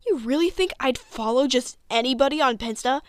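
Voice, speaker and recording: A woman's voice: sits high, a little fast, no room sound, clean studio sound, natural voice. A woman playing a brash, sarcastic athletic teenage witch girl in a cartoon comic dub, with a blunt, exasperated manner. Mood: scornful, heated.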